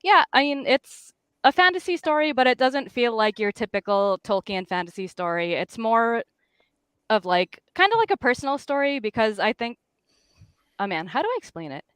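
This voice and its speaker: A woman's voice: on the high side, expressive, moderate pace, fairly bright tone, smooth, dry recording. A woman talking casually and informally about her own creative work as a guest host, with a chatty, self-deprecating manner.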